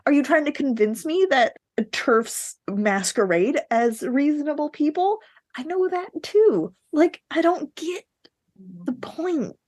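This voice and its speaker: A woman's voice: sits high, animated, slow pace, a little mellow. Candid, opinionated woman in casual conversation. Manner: candid, opinionated, laughs while talking. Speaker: podcast co-host. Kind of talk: casual book discussion.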